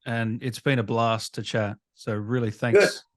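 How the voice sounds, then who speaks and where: A man's voice: medium pitch, steady tone, measured pace, dry recording, clean studio sound. A man interviewing casually as host, polite and informal, with short replies. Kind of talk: casual chat.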